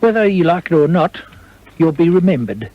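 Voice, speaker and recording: A man hosting a radio interview in a formal, courteous, measured way. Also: fairly high pitch, very animated. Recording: dull lo-fi old radio recording.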